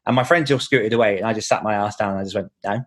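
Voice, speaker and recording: A man's voice: on the low side, rapid-fire, a bit bright. A man with a British accent in casual podcast chat, informal and jokey in the way he talks. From Britain. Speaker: podcast host and author, being interviewed on own show.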